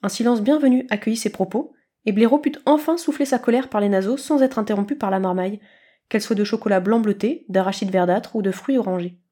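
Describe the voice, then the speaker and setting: A woman reading scripted fiction aloud as an expressive storyteller, at a medium pitch. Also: fast, husky. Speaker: narrator, podfic reader.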